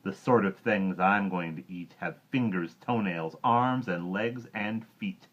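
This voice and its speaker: A man voicing a greedy, boastful crocodile who gloats slowly over his sly plans. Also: low-pitched, fairly bright tone, highs cut off. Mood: gloating.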